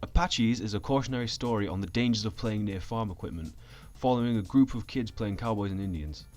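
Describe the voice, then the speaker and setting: Low-voiced man, deadpan commentary over music. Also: brisk. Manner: deadpan, sweary. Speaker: video essayist. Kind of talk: sardonic scripted commentary. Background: background music.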